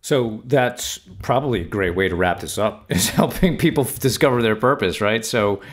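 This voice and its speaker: A man with a low voice closes out an interview on a podcast, polite and appreciative toward his guest. He speaks in a plain, relaxed way. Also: normal speed. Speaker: podcast co-host. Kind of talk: interview wrap-up.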